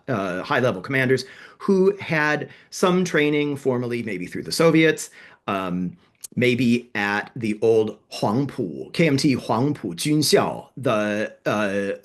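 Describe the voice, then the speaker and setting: Guy cohosting a history podcast, voice bouncing up and down a lot, lots of uhs and second guesses. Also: mid-range voice. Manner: animated, thinks aloud with fillers and self-corrections. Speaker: podcast co-host. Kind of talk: casual history podcast narration.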